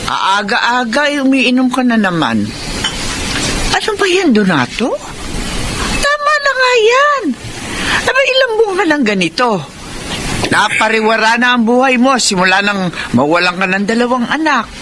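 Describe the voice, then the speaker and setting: An actress playing a stern but concerned middle-aged Filipino elder sister in a radio drama. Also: female voice, a little high, very animated, normal speed, long pauses, background noise. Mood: exasperated. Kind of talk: Tagalog radio drama acting.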